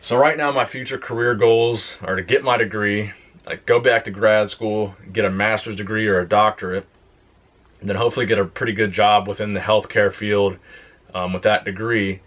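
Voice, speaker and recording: A young man on a fitness channel, casually answering viewer questions in a plain, relaxed way. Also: fairly low pitch, normal speed. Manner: relaxed, plain-spoken, reads questions aloud then answers. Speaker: fitness YouTuber. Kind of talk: casual Q and A vlog.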